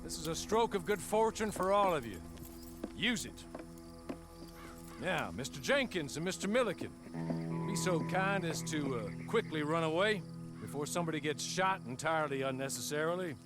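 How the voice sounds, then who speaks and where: An actor playing a polite, composed Old West gentleman's agent with a quiet menace and a formal, measured way of talking in a western video game. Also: fairly high pitch, animated, music in the background, lightly acted.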